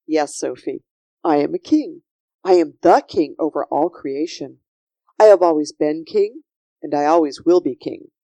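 A gentle storybook king, read by a woman, speaking slowly in a low, mellow voice. He is solemn and measured, reassuring yet full of kindly authority. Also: expressive, dry recording. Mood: solemn, regal. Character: gentle, solemn kingly man, patient and reassuring. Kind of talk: children's storybook character voice.

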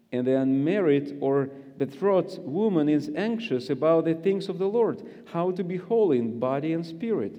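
Russian-accented man preaching, slow and mellow. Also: medium pitch, long reverb tail, muffled recording. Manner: friendly, joking, halting with many fillers. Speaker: guest preacher. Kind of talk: sermon with scripture reading.

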